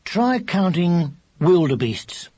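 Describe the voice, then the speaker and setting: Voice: medium pitch, very animated, takes it slowly, warm tone, breathy edge, pitched above the performer's own voice, exaggerated voice. A narrator voicing a bossy, tired older brother, a boy who explains things with impatient effort in a children's story. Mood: weary, explaining. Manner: sighing, impatient, laboured explaining.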